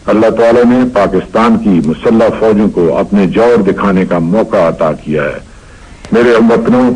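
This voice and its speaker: A man's voice: fairly low pitch, a little mellow, breathy edge, low-quality recording. A man as a solemn national leader giving a declamatory wartime radio address in a 1960s style.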